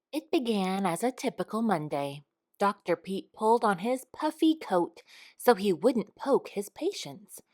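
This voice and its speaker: A woman reading a children's picture book aloud in an expressive storytime style. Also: mid-range voice. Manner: expressive, unhurried. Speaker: storytime reader.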